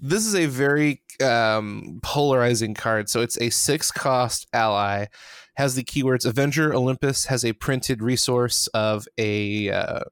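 Animated man talking card math. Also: mid-range voice, measured pace, smooth, dry recording. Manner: animated, laughs easily, reasons through numbers aloud. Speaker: podcast co-host. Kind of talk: casual card-game discussion.

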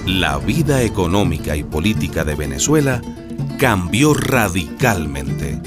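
Solemn radio-drama narrator, low voice, lively intonation, music behind. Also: male voice, unhurried. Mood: solemn narration.

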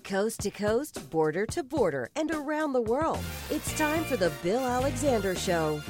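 A woman's voice: on the high side, very animated, normal speed. A female announcer reading a scripted show introduction in an emphatic, promotional way. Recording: voice-over with music behind.

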